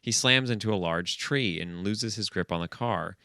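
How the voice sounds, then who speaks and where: A man's voice: fairly low pitch, moderate pace, gravelly, sharp tone, smooth, no echo, clean studio sound. A man co-hosting a comedy podcast, reading a screenplay aloud and breaking off for sardonic, scoffing banter. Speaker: podcast co-host.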